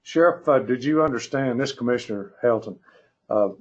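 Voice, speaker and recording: A man chairing a formal public meeting by conference call, speaking in a measured, hesitant, courteous way. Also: mid-range voice. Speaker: county commissioner chairing the meeting. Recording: muffled conference call audio.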